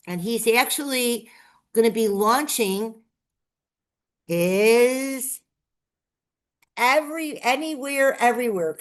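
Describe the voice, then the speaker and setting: A woman chatting enthusiastically as a guest on a live-streamed show, sounding a bit congested. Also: medium pitch. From Las Vegas, Nevada. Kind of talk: casual live-stream chat.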